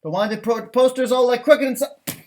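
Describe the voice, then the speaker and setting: A man doing an expressive children's picture book read-aloud, with chatty asides and musing exclamations. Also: extremely high pitch, a little fast. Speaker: storytime reader and elementary teacher.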